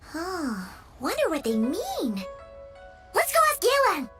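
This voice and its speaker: A tiny fairy companion for a video game, with an extremely high, childlike voice. The delivery is excitable and chatty, swinging up and down in pitch, with music playing loudly behind it. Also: female voice, slow, full character voice. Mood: curious. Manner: refers to self in third person, exclamatory.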